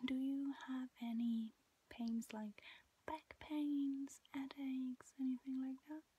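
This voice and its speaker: A young adult woman whispers softly and gently gives instructions in an ASMR medical exam roleplay. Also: high-pitched, flat delivery, takes it slowly, slightly rough, highs cut off. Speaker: ASMR performer playing a medical examiner. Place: small home bedroom, indoors.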